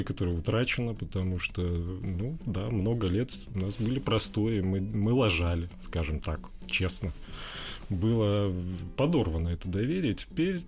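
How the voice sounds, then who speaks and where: A man with a fairly low voice gives an informal interview answer. He feels his way through sentences haltingly, dropping in colloquial, slangy turns. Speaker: interview guest, animation artist.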